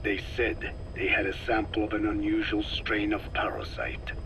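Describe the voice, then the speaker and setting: Game role: male actor as century-old scholar, whispery and low, formal, measured storyteller, on noisy tape. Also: little pitch movement, close to an everyday voice. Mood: reflective, intense. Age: older. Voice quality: breathy, whispery, aged voice. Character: ancient soft-spoken scientist kept alive by parasites. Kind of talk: dramatic video game dialogue. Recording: noisy cassette tape with vehicle-like rumble.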